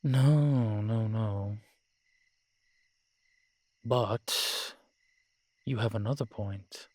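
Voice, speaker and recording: Man playing a wry, weary low-voiced vampire. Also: darkish tone, no echo. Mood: wry, pondering. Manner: wry, measured, formal phrasing with sighs. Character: sardonic, weary male vampire, protective of a little companion. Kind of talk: scripted audio roleplay drama.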